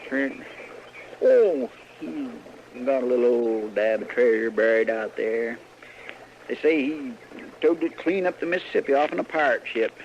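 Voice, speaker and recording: An actor playing a good-natured, gullible deputy with a rural American drawl in an old radio western. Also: male voice, medium pitch, lively intonation, measured pace. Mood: gossipy, earnest. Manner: folksy, rambling, drawling. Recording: dull, lightly hissy old radio recording.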